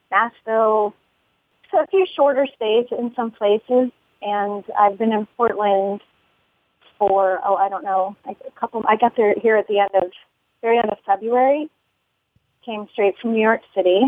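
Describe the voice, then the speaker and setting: A woman's voice: fairly high pitch, normal speed, no room sound. A musician woman from the United States, talking in a phone interview, rambling and laughing often. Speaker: musician guest. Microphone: telephone. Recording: tinny phone line.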